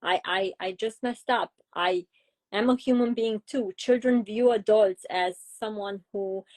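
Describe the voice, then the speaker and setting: A woman's voice: medium pitch, measured pace, darkish tone. A middle-aged woman from Romania with a Romanian accent, a child psychologist being interviewed, explaining ideas through examples with frequent fillers. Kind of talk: interview conversation.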